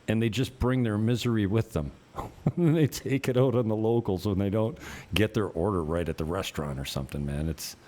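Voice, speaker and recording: Mellow, chatty Canadian-raised host. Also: male voice, on the low side, fairly quick. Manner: chatty, rambling questions. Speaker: podcast host. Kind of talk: casual interview chat.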